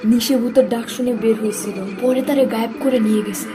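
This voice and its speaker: A woman's voice: fairly high pitch, steady tone, quick pace, background noise, close to an everyday voice. A woman voicing a knowing, bossy female character in a comic cartoon, who likes to instruct and correct. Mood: knowing, instructive.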